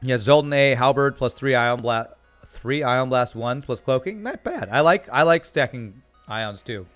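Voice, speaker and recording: A man streaming a video game, chatting casually and reading chat aloud, with a calm voice that sounds tired. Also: mid-range voice, normal speed, soft background music. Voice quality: calm, soothing voice. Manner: chatty, reads chat aloud, laughs often. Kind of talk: casual live-stream commentary.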